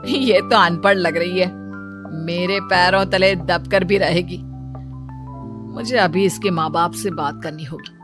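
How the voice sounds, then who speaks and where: A woman's voice: fairly low pitch, fairly even intonation, a little slow, close to an everyday voice. A woman voicing a bossy, scheming, wealthy mother-in-law in a cartoon story, with a haughty manner. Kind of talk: Hindi cartoon story dialogue. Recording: music behind the voice.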